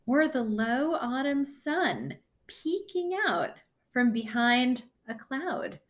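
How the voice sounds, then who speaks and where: A woman teaching kindergarten children in a read-aloud lesson, explaining things simply and deliberately in short phrases. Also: high voice, sing-song.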